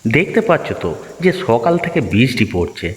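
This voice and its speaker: A man's voice: medium pitch, very animated, fairly quick, long reverb tail, lightly acted. A man voicing a lazy, grumpy, sleepy village husband in a children's cartoon, complaining in an exaggerated way. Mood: irritated, sleepy. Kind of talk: children's moral story cartoon dubbing.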